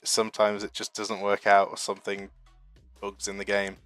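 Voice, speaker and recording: A young British-sounding man getting over a flu chats loosely through a live stream, rambling and poking fun at himself between fillers. His voice sits low and stays fairly flat, heard up close and dry, with faint music under it. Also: moderate pace, clean tone, no room sound. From United Kingdom. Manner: chatty and rambling, self-deprecating, with frequent fillers. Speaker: streamer hosting a marble racing channel. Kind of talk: casual live-stream chat reading chat messages.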